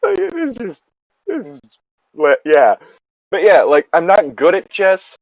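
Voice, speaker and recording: A man's voice: high voice, huge pitch swings, a little slow, vocal fry, no room sound. Man rambling casually, dry dull recording. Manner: rambling, swears freely. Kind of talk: casual chat.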